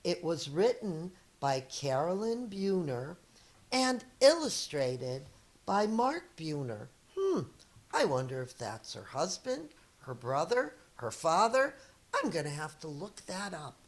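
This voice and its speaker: A middle-aged woman, a teacher reading a children's picture book aloud in a deliberate, expressive storytelling manner with chatty asides. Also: fairly low pitch.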